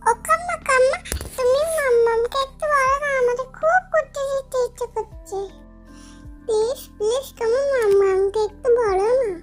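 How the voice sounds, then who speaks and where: A woman voicing a sweet, eager little doll girl who coaxes and pleads in a childish way. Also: extremely high pitch. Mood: pleading.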